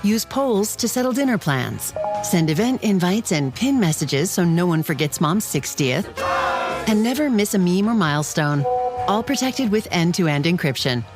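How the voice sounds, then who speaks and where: A woman's voice: fairly low pitch, very animated, moderate pace. A woman reading a scripted commercial as a voice-over. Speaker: advertisement voice-over. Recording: music bed behind voice. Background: music.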